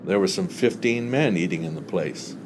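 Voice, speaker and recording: Male story reader, very low and animated, expressive literary narration over clear background noise. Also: normal speed. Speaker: audiobook reader.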